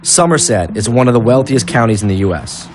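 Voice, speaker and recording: A man's voice: medium pitch, animated, fairly quick. Man, mid-range voice, documentary reporter, music behind him. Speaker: reporter and narrator. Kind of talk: scripted documentary narration and on-location interviewing.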